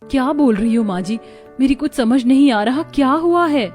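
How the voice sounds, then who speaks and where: A woman playing a devoted, anxious young wife in an animated family story. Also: high-pitched, normal speed, soft background music.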